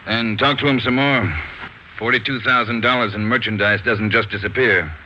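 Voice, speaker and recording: An actor playing a gruff, impatient chief of detectives in a 1940s radio drama, curt and demanding. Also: male voice, on the low side, steady tone, normal speed, sharp tone. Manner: curt, demanding, hard-nosed. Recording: muffled, faintly noisy old radio broadcast.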